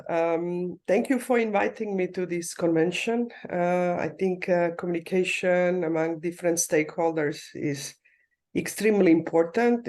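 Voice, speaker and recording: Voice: mid-range voice, darkish tone. Remote panelist, hesitant. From Croatia. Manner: measured, with frequent hesitant fillers and restarts. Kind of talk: conference panel remarks on economic policy. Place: remote, not in the conference room. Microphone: video call.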